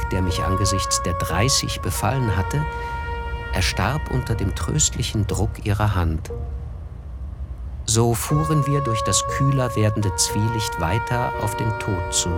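A man narrating a radio play in a measured, reflective way. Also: fairly low pitch. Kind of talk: scripted literary narration.

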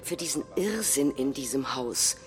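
An actress playing an indignant, combative right-wing populist politician, declaiming scornfully and emphatically in a staged reading of a parliamentary speech. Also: female voice, extremely low pitch, lively intonation, moderate pace. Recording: reverberant hall, faint music.

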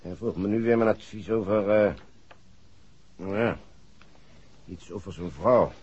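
A husky, low-voiced affable, evasive assistant with a Dutch accent. His delivery is lively and confiding, in 1960s radio drama style. The old recording sounds muffled. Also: a little slow. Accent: Dutch (Netherlands). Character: affable, gossipy male assistant, slightly evasive. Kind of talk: 1960s radio play acting.

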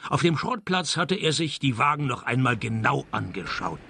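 A man playing a wry, deadpan private detective in a radio play, dry and laconic in narration and dialogue. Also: medium pitch, moderate pace, vocal fry, slight background noise, exaggerated voice. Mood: dry narrating. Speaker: narrator and lead actor.

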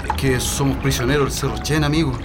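A man's voice: mid-range voice, steady tone, fast talker, background noise, close to an everyday voice. A man playing an exhausted, polite Chilean escaped prisoner in a radio drama, earnest and a little hesitant. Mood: weary, earnest.

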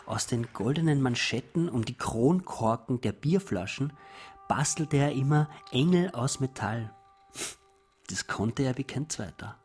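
A man's voice: mid-range voice, animated, darkish tone, soft background music, close to an everyday voice. An actor playing a melancholy taxi driver of about forty, recounting his life reflectively in a radio drama monologue. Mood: wistful reminiscence. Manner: measured, reflective delivery.

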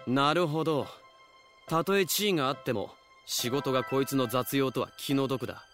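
A man's voice: mid-range voice, moderate pace, fairly bright tone, natural voice. Audio drama nobleman, male actor: prickly, scornful, imperious, middle register, music behind. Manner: imperious, sneering, archaic formal speech. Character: haughty, scornful, prickly nobleman stripped of rank. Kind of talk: Japanese audio drama acting.

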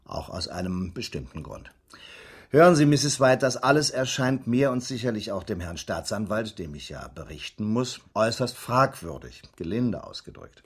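Radio play casting: a methodical inspector, husky voice, polite but probing, widely varied intonation. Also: male voice, lowish voice, expressive. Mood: formal, probing. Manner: courteous, measured, probing, slightly hesitant. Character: formal, methodical male police inspector questioning a suspect. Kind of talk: German radio drama acting.

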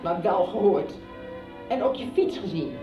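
A woman's voice: lowish voice, lively intonation, moderate pace, far from the mic, over music, lightly acted. A middle-aged actress playing a strict, interrogating mother in a radio soap. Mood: stern. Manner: stern, interrogating. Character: strict mother confronting her teenage son. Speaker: actor. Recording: dull roomy radio recording, off-mic.

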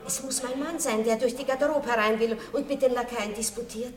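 Casting: actress as a noble lady, high bright voice, quick and ironic, roomy stage sound. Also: lightly acted. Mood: urgent. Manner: animated, ironic, breaks into laughter. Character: aristocratic princess, worldly and amused. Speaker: actress in radio play. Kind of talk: 1960s radio drama acting.

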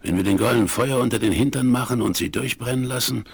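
An actor playing a gruff, hot-tempered old Wild West frontiersman in a 1970s German radio play, with colourful, folksy cursing. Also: mid-range voice, fast talker. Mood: sly, hushed. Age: older. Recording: vinyl transfer with background noise.

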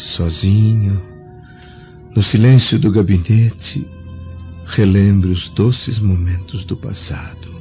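An actor playing a proud, austere, grieving middle-aged Roman senator in a radio drama, speaking in a solemn, formal, measured way. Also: male voice, sits low, warm tone. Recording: dull band-limited audio with music behind.

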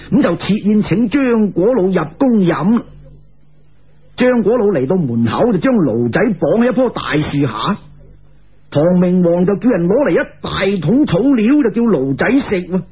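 A male storyteller with a Cantonese accent narrates folk tales in a colloquial, expressive way. His rather high voice is very rough and swings widely in pitch. The recording is dull and lo-fi. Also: normal speed. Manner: colloquial, expressive, with conversational particles.

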